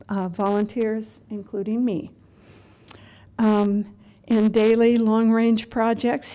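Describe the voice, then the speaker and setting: Female host at mid pitch, mellow and warm, formally reading out a speaker introduction. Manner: formal and courteous.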